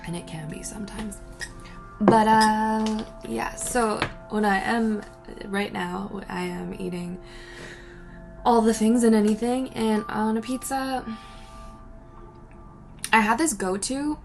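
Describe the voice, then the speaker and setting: A woman's voice: mid-range voice, steady tone, takes it slowly, vocal fry, pauses often, over music. A young woman answering viewer questions in a rambling, casual chat, breaking off mid-sentence and laughing between thoughts.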